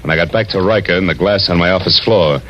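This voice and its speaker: An actor playing a wry, world-weary American private detective in 1940s radio noir style, clipped and wisecracking. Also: male voice, low-pitched, fast talker, background noise, lightly acted. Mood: wry narrating. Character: hard-boiled, wry male private detective. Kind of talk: 1940s radio noir acting, narration and dialogue. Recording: muffled vintage radio recording with music.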